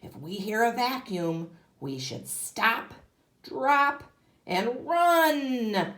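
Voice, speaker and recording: A woman kindergarten teacher reading a picture book aloud expressively to young children, pausing to ask them questions. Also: fairly high pitch, expressive, fairly bright tone. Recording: roomy, echoey sound.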